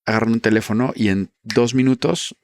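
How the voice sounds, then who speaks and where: A man's voice: medium pitch, steady tone, brisk, dry recording. A man from Mexico, hosting a podcast and asking curious, playful questions. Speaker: podcast host. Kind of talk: interviewing on a podcast.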